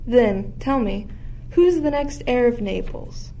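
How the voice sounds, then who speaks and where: A woman's voice: a little high, lively intonation, takes it slowly, warm tone, low-quality recording. A woman playing a sly, ambitious usurping duchess in a Shakespearean radio play, insinuating and theatrical. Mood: scheming, insinuating. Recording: faint background noise.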